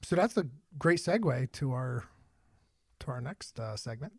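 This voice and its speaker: A man's voice: medium pitch, animated, a little slow, darkish tone, husky, no room sound, studio quality. A man co-hosting a podcast, chatting casually and telling stories in slangy, sweary talk. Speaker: podcast co-host. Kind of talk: casual chat and anecdotes.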